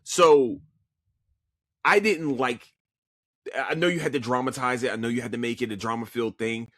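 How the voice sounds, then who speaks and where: A man from Philadelphia, his local accent coming through, rambles through a casual solo podcast review. His voice sits at an ordinary pitch, and he swears freely and repeats words as he restarts thoughts. The sound is dry, with no room echo. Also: moderate pace, a bit bright. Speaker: podcast host.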